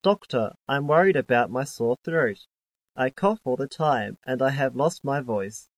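A man reading scripted dialogues for an English listening test in a plain, measured way. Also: mid-range voice, animated. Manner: plain, measured textbook delivery.

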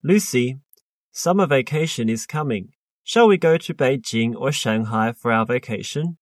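A man reading the part of a friendly father in a scripted textbook dialogue, with clear, plain delivery. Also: medium pitch, animated, normal speed, no room sound, clean studio sound, close to an everyday voice. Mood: kind. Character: friendly middle-aged father. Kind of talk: scripted listening-test dialogue.

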